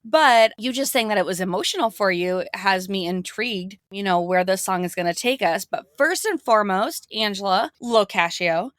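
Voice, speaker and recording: A woman in her forties hosting a podcast interview, chatty and quick to exclaim and laugh. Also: medium pitch, animated, a bit bright, no echo, clean studio sound. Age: 40s. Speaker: podcast host. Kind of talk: casual interview chat.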